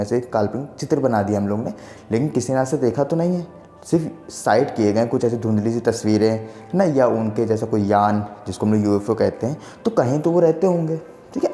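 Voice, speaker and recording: A man's voice: mid-range voice, warm tone, reverberant, long reverb tail. A man in his twenties casually explaining a science idea, rambling and often checking that the listener follows. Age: 20s. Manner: rambling, repeats filler checks for agreement. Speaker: science explainer channel host. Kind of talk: casual explainer talk to camera.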